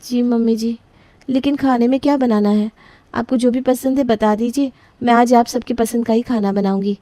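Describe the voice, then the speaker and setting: A woman's voice: on the high side, steady tone, normal speed, mellow, dry recording, close to an everyday voice. A woman voicing a young newlywed daughter-in-law who is flustered and put-upon, pleading and grumbling in a dry close recording. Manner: flustered, pleading, whiny complaining. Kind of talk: scripted family story voicing.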